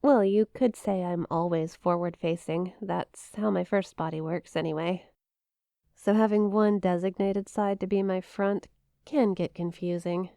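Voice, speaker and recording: A woman's voice: lowish voice, steady tone, a little slow, vocal fry, mellow, no room sound, clean studio sound. A woman playing a shy, playful young eldritch monster classmate in an intimate ASMR roleplay, teasing and hesitant, with a flustered stammer. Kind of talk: ASMR roleplay, intimate one-sided conversation.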